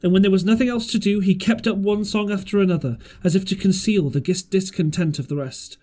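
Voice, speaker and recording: A man's voice: sits high, fairly quick, dark tone. A man reading a storybook aloud as an amateur audiobook narrator, occasionally stumbling and rereading phrases. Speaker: audiobook reader.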